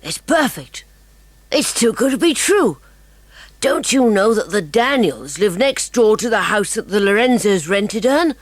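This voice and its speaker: A woman's voice: medium pitch, very animated, unhurried, dry recording. A woman voicing a clever, confident schoolboy sleuth in a dramatised children's audiobook. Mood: keen.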